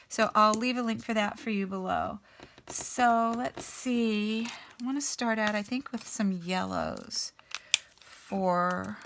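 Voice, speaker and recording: Raspy woman just over a bug, chatting slow while she colors, lots of ums, gravelly. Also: mid-range voice, noisy background. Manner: unhurried, thinking aloud with many ums. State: raspy, getting over an illness. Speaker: artist and channel host. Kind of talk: casual color-along chat.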